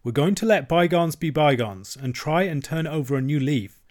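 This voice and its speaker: A man's voice: on the high side, a little mellow, lightly acted. Man as a stern old storybook badger. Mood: kindly firm. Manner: measured, admonishing, weighty. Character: stern, fatherly, authoritative old male badger.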